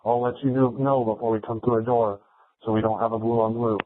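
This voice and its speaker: A man's voice: mid-range voice, level tone, brisk. A man speaking as a police officer in terse radio traffic, heard over a narrow police radio.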